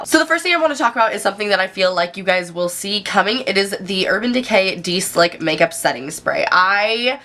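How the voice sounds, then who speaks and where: A woman's voice: on the low side, fairly bright tone. A young woman in her twenties with a stuffy, nasal voice from a cold, chatting enthusiastically through a casual makeup review. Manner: chatty, enthusiastic, many fillers. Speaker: beauty vlogger.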